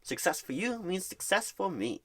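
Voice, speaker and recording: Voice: mid-range voice, big pitch swings, normal speed, a bit bright. A performer playing an upbeat, reassuring job recruiter in a soothing ASMR phone-screen roleplay, talking in a wordy, encouraging, salesy way. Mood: encouraging, persuasive.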